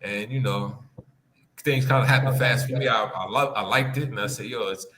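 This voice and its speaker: A man chatting casually as a guest, matter-of-fact and a little halting. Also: mid-range voice, fairly bright tone. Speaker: podcast guest. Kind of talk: casual conversation. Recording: clicky, noisy remote connection.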